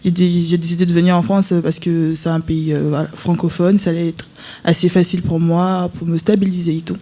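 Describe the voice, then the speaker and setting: A young woman from Ivory Coast, a student guest on live radio, speaking in a measured, careful way. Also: on the low side, flat delivery, dark tone. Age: young adult.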